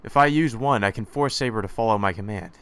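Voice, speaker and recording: A man's voice: on the low side, fast, a bit bright, clean tone. A man reading a visual novel aloud in first person as the hero, with sarcastic asides of his own. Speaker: let's play narrator.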